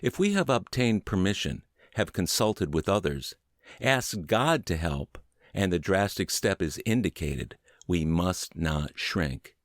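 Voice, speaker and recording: A man with a low, deep voice narrates a book for a podcast. His intonation moves up and down in a lively way, and he sets out each phrase deliberately with clear pauses. The sound is very dry, with no room around the voice. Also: no room sound. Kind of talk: audiobook reading of a book, scripted narration.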